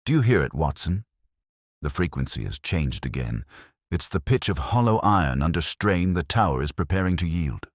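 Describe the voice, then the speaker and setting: A man plays a coolly analytical detective in a mystery audiobook, with a very deep voice. He speaks gravely and precisely, with expressive rises and falls. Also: normal speed, no echo, clean studio sound. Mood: grave, intent. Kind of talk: audiobook character dialogue.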